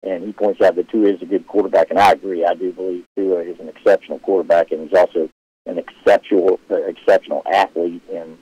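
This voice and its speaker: A man hosting a casual live sports chat, talking loudly and rambling with many fillers and restarts. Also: low-pitched, little pitch movement, quick pace, slightly rough. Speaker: sports show host answering viewer questions. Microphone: live stream setup. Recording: dull lo-fi livestream audio.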